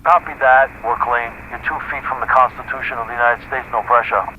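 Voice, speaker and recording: A man's voice: fairly even intonation, fairly quick, lo-fi sound, natural voice. A man playing a grave bomb operation commander in a military radio drama, terse and matter-of-fact. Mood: tense, forceful. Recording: noisy radio link with rumbling ambience.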